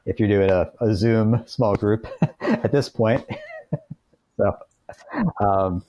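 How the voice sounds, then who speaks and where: A man's voice: on the low side, takes it slowly, mellow. A middle-aged American man speaking as a guest author in a conversational interview, explaining things earnestly. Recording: dull lo-fi call audio, roomy echo.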